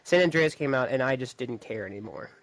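A man's voice: medium pitch, brisk, no echo. Young guy, medium voice, kinda hesitant, dry close mic, chill podcast chat. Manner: hesitant, restarts, relaxed. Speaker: podcast co-host. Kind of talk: casual chat.

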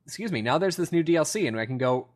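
A man's voice: on the high side, lively intonation, very fast. A man co-hosting a podcast in casual conversation, opinionated and rambling, often repeating himself for emphasis. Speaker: podcast co-host. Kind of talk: casual gaming podcast chat.

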